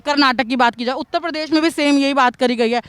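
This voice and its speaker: A woman's voice: high voice, fast talker, fairly bright tone. A woman working as a street reporter, putting questions to passers-by outdoors. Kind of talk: vox pop interviewing with leading questions.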